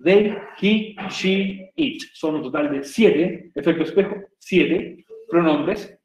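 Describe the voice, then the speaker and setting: A man's voice: a little high, slow pace, reverberant, poor audio. A man from Chile teaching an English class online in Chilean Spanish, chatty and jokey, often checking in with his students. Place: home room on a video call.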